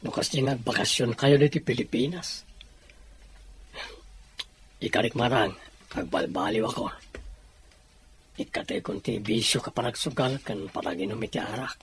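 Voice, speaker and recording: A man's voice: medium pitch, a little hiss or hum, close to an everyday voice. A man playing a remorseful younger brother, a reformed gambler, in an Ilocano audio drama.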